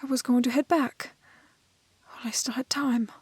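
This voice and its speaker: Woman acting a breathy, high-voiced storybook village maiden. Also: steady tone, a little mellow, no echo. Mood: timid, polite. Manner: old-fashioned polite phrasing, halting, breathy hesitations. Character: gentle, curious young village maiden, polite but plucky. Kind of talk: romantic fantasy audio roleplay spoken to the listener.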